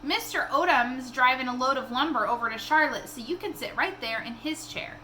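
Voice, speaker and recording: A woman's voice: high-pitched, moderate pace, sharp tone, a little hiss or hum, poor audio. A woman voicing a kind, hospitable mother from a children's novel in a sing-song way. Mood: friendly welcome.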